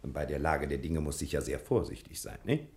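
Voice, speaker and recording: An actor playing a boastful, slyly condescending private detective in a theatrical mid-century radio drama style. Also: male voice, rumbling low, expressive, fairly quick, darkish tone. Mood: sly, triumphant. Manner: pompous, theatrical, chuckling, talks of himself in third person.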